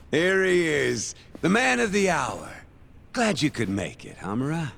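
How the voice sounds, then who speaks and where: An actor playing a smug gangster villain in a video game, gravelly and theatrical, with a falsely courteous, mocking menace. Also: male voice, fairly high pitch, big pitch swings, a bit bright, slight background noise, heavily acted. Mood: mocking welcome. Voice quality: creaky, gravelly. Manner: slow, theatrical, swooping, chuckling menace. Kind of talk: dramatic video game cutscene acting.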